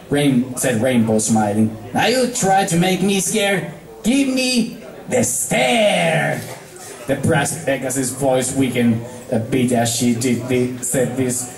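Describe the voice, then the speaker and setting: Guy reading a story out loud, slow, trips on words, sounds far from the mic. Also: mid-range voice. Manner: halting, stumbling over words. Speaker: amateur fan fiction reader. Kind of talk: storybook narration, read aloud. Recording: echoey room, distant mic.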